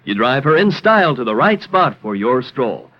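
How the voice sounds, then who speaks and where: A man's voice: mid-range voice, very animated, moderate pace. A man reading a scripted old-time radio advertisement in a folksy manner. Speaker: commercial announcer. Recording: muffled old radio recording.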